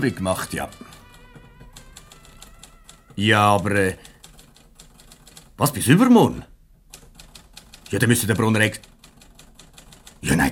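An actor playing a grumpy, curt office boss in a 1970s radio comedy, brusque and grumbling, with a Swiss German accent. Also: male voice, on the low side, fairly bright tone, breathy edge, exaggerated voice. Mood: dutiful, flustered.

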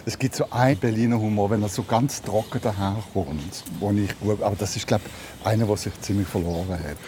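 A man's voice: fairly low pitch, a little fast, dark tone, a little hiss or hum. An older man interviewing someone in casual conversation.

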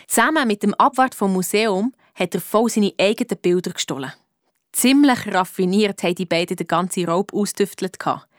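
A clever, self-assured woman detective played by an actress tells her case in Swiss German. She is brisk and a little cheeky, in the storytelling style of a children's radio play. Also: mid-range voice, no room sound, studio quality, lightly acted. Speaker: lead detective and narrator in a children's audio drama.

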